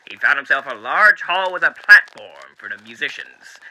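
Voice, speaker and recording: A man's voice: mid-range voice, big pitch swings, normal speed, sharp tone, rough recording. A man voicing a genteel, eerily cheery demon radio host with showy, mannered delivery, in the style of 1930s radio acting. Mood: storytelling.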